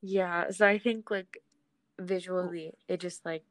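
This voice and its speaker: A woman's voice: on the low side, steady tone, unhurried. A young woman co-hosting a podcast in casual, agreeable, rambling chat with lots of "like". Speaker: podcast co-host.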